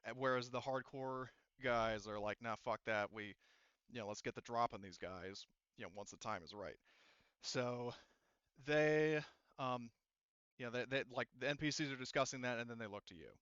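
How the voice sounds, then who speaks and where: Smooth-voiced man as game master, slightly dull audio. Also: mid-range voice, a bit bright, no echo. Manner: halting, conversational explaining with quoted character lines. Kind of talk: narrating a tabletop roleplaying game, casual.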